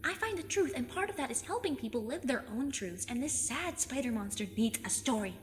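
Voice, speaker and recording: A woman in an audio drama playing a plucky, stubborn fifteen-year-old girl who speaks emphatically and earnestly, quick to get defensive. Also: sits high, lively intonation. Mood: defiant, earnest. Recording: long cave reverb, faint noise.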